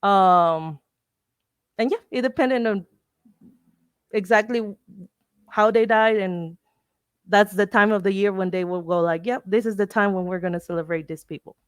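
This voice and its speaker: A Mexican-accented woman, a native Spanish speaker, presents an informal lesson. Her voice sits at an average pitch for a woman. She explains step by step, often pausing to find the word, and the sound is dry, with little room in it. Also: clean tone, no echo. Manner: explanatory, halting, searching for words. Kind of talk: informal educational talk with slides.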